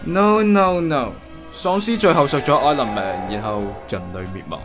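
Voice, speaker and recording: A man's voice: a little high, moderate pace, over music, close to an everyday voice. A man with a Hong Kong accent playing a teasing older brother in a comedic radio drama, talking with mock seriousness.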